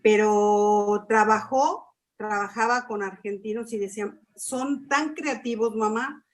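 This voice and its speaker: A woman's voice: medium pitch, slow pace. A middle-aged woman speaking casually in a church discussion, thinking aloud with small fillers. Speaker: church class participant. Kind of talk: casual conversation.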